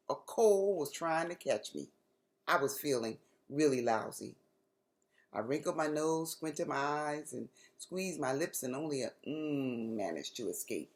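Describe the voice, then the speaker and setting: An American woman reads to children as an author sharing a story. Her voice is extremely low for a woman and moves in lively swings. The phrasing is deliberate and dramatic. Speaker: author reading aloud to children. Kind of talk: expressive storybook reading.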